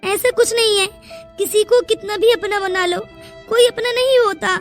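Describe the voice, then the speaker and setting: A woman's voice: very high-pitched, steady tone, normal speed. A woman voicing a poor, plaintive young village woman in an emotional cartoon moral story. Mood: plaintive, resigned. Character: poor, tearful but willing young village woman, Payal. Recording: music behind the dialogue.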